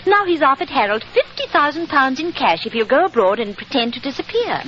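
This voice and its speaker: Genteel young British noblewoman, high, very lively intonation, earnest, vintage radio drama. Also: brisk. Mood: confiding worry. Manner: genteel, pleading. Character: earnest, emotional aristocratic young English lady. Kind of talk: 1940s radio acting. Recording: dull, hissy 1940s radio recording.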